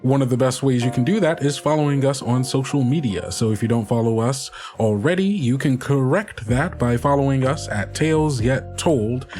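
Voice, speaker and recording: A man's voice: mid-range voice, normal speed, few pauses, a little mellow. A man narrating and hosting an actual-play fiction podcast as its game master. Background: music under the voice.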